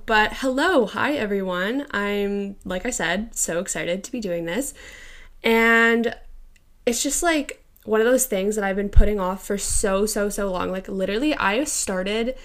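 A young woman in her twenties chats on her own podcast with an excitable, gushing energy, leaning hard on "like" and "literally". She records alone in a quiet room of her house on a home microphone. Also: medium pitch. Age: 20s. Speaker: podcast host. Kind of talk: casual solo podcast chat.

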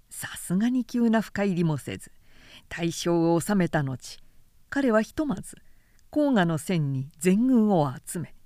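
Female literary narrator: measured, articulate, warm dark tone, very dry close sound. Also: mid-range voice, no room sound. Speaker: professional narrator. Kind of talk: scripted literary audiobook narration.

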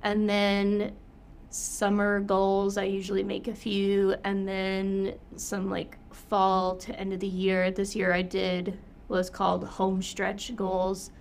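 A woman's voice: mid-range voice, monotone, takes it slowly, a little hiss or hum. A young woman co-hosting a podcast, talking in a casual, upbeat way while she has a cold. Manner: upbeat, thinking aloud with fillers. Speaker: podcast co-host, yoga teacher.

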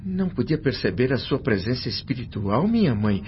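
A man's voice: mid-range voice, big pitch swings, moderate pace, close to an everyday voice. A Brazilian man plays an earnest son newly arrived in the spirit world. His delivery is formal and dramatic, full of feeling, in a mid-range voice. It is radio drama with dull sound and a musical backing. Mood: troubled, questioning. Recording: dull lo-fi audio under music.

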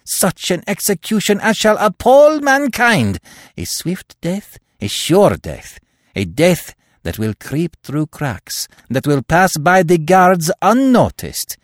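A male reader plays a grave, composed man who leads a secret band, speaking low and measured, a notch deeper than his own narrating voice. The delivery is hushed and controlled, and it is recorded close and dry. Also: very animated, creaky, dry recording, heavily acted. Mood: fervent.

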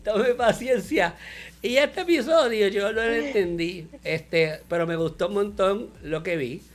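A man, co-hosting a casual show review chat, animated and mixing in English words. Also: high voice, measured pace. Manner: animated, mixes English words, laughs often. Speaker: podcast co-host.

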